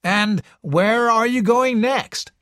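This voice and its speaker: A man voicing a neat, pleasant middle-aged man who speaks slowly and politely in an audiobook reading. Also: very high-pitched, fairly bright tone, no echo, studio quality, voice pushed up, exaggerated voice. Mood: polite, friendly.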